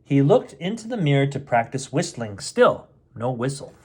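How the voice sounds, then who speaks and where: A man's voice: mid-range voice, big pitch swings, moderate pace, a little mellow, slightly rough. A middle-aged man reading a picture book aloud as a storyteller, with playful, chatty asides aimed at children. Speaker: storyteller, host of a bedtime story show.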